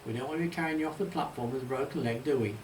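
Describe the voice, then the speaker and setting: A man's voice: medium pitch, very fast, slight background noise, natural voice. A man voicing a cheerful, teasing train guard with a folksy West Country accent, recorded in a roomy space. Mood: jovial, teasing. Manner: folksy, teasing dialect talk. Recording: roomy, echoey, distant home recording.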